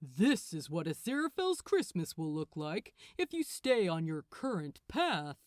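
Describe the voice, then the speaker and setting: A woman's voice: fairly low pitch, sing-song, a little slow, no echo, clean studio sound, pitched below the performer's own voice. A woman voicing a smug male archangel in an audiobook, condescending and falsely friendly as he lectures. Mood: lecturing, condescending.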